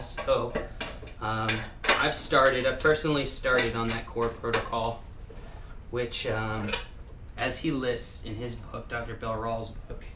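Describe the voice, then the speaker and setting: A man talking casually in a personal vlog, rambling with many fillers and restarts, his voice still getting over hoarseness. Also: medium pitch. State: recovering from lost voice. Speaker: vlogger. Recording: echoey room, mic at a distance, noisy.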